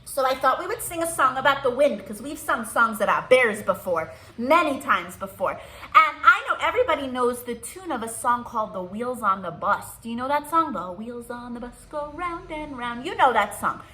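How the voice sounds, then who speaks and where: An American woman leads a storytime for young children in a high, bright voice. Her pitch swings widely as she encourages the little ones and puts simple questions to them. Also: normal speed, low-quality recording. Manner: animated, encouraging, talks to small children with many questions. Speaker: children's librarian and storytime host. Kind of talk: children's storytime read-aloud and chat.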